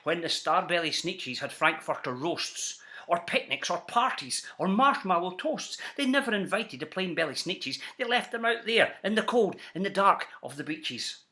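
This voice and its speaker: A Scottish man reading a rhyming children's story aloud in an animated storyteller style. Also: high-pitched, normal speed, few pauses. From Scotland. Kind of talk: animated storybook narration in rhyme.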